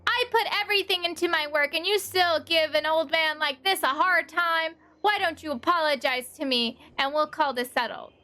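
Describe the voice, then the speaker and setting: A woman's voice: very high voice, normal speed, few pauses, pushed and bright. A woman voicing a cheeky little thief who poses as a frail old man, wheedling and theatrical, in a video game. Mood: feigned innocence.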